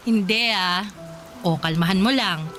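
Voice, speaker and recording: An actress playing a loving, playful Filipino wife in a radio drama, affectionate and reassuring. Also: female voice, medium pitch, very animated, slow, pushed and bright, soft background music, exaggerated voice. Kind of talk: radio drama acting in conversational Tagalog.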